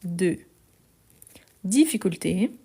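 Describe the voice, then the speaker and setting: A woman's voice: on the low side, big pitch swings, warm tone, breathy edge. A woman teaching French in a live online lesson, correcting a text step by step. She explains patiently, repeats words often and talks into a phone she holds in her hand. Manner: slow, patient explaining with many "donc" and repetitions. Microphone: phone held in hand.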